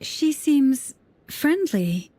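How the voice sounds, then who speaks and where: An actress playing a composed, devout priestess who speaks formally and courteously in a video game story. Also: female voice, high-pitched, big pitch swings, dark tone, no room sound, heavily acted. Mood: calm, courteous. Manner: formal, measured.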